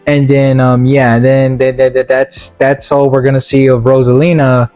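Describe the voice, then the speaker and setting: A man giving a casual, opinionated movie review as a video commentator, in a halting, repetitive, slangy way. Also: mid-range voice, little pitch movement, darkish tone, soft background music.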